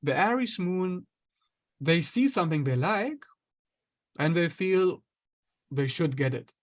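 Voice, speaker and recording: A man, an astrologer guest, explaining ideas in a relaxed conversational way, sometimes acting out inner voices. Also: a little high, takes it slowly. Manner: explains with acted-out inner voices and light laughs.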